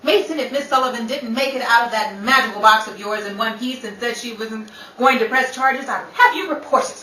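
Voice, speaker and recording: A woman playing a brisk, bossy star of a stage show in a theatrical old time radio comedy style. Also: on the high side, bright, forceful tone. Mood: exasperated. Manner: commanding, theatrical. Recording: roomy distant mic, noisy crowd behind.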